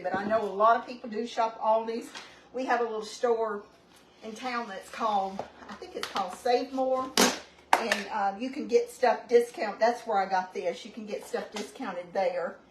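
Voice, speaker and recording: A chatty woman with a drawl walks through a recipe at home, wandering off into homey asides as she goes. She sounds a few steps back from the mic in an echoey kitchen, with steady background noise under her voice. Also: fairly high pitch. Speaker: home cooking channel host. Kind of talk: casual cooking demonstration. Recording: roomy, distant, noisy home recording.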